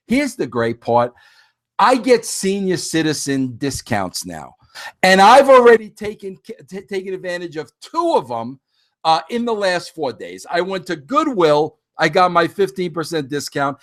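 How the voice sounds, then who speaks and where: A man's voice: a little high, big pitch swings, moderate pace. A man in his fifties hosting a podcast, talking casually and animatedly straight to his listeners, often rambling and restarting his sentences. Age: 50s. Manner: addresses listeners directly. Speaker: podcast host. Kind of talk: casual solo monologue.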